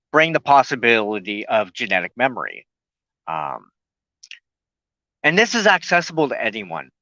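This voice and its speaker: A man giving an earnest, unscripted spoken teaching as a broadcast host, reflective and halting, often pausing in the middle of a sentence. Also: medium pitch, sharp tone, no echo, dull audio.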